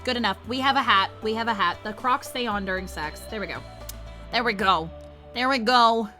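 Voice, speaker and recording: A woman's voice: a little high, brisk, pushed and bright, soft background music. A woman streamer whose first language is Vietnamese, chatting casually while she plays, rambling, swearing and putting herself down. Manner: profane, rambling, self-deprecating. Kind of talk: casual gaming stream chat.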